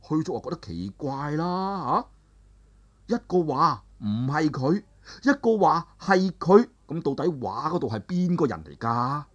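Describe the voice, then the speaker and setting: A male storyteller narrating a traditional tale with animated, drawn-out phrasing and sentence-final particles. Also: fairly high pitch, muffled recording.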